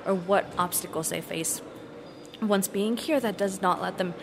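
A woman's voice: mid-range voice, measured pace, creaky. Thoughtful woman explaining, noisy background. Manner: thoughtful, restarts while searching for words. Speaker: recruitment director. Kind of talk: interview, explaining.

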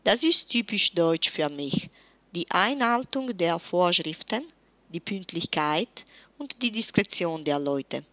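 A woman in her forties from Italy, with an Italian accent and Italian as her first language, giving a careful, measured self-introduction as a German teacher. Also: lowish voice, expressive, sharp tone, dry recording. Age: 40s. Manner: careful, measured, slightly formal.

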